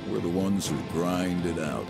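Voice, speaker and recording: A man reading a tool commercial as a slow, weighty voice-over. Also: deep voice, steady tone, gravelly. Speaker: ad narrator. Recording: voice-over under loud guitar music.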